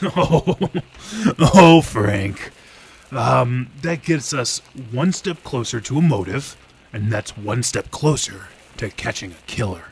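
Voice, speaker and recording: An actor playing a hard-drinking police detective in an old time radio drama, gravel-voiced and drawling, with a needling manner. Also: male voice, medium pitch, lively intonation, takes it slowly, heavily acted. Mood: amused.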